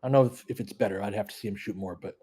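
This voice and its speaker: Slightly husky man casually calling basketball. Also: on the low side, rapid-fire, dark tone. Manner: conversational, analytical, informal with mild swearing. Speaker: host of a fan audio play-by-play stream. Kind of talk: casual live basketball commentary, chatting with listeners.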